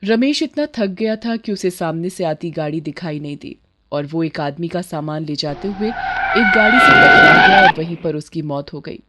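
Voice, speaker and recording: A woman's voice: on the low side, huge pitch swings, normal speed, pushed and bright, a little hiss or hum. A woman narrating a scripted moral bedtime story as a storyteller.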